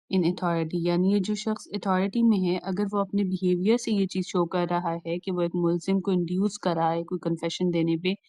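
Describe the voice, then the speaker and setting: A woman's voice: lowish voice, darkish tone, no room sound. A woman with a Pakistani accent giving an explanatory lecture, methodically repeating and rephrasing each point as a teacher does. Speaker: law lecturer. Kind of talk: explanatory lecture, switching between Urdu and English.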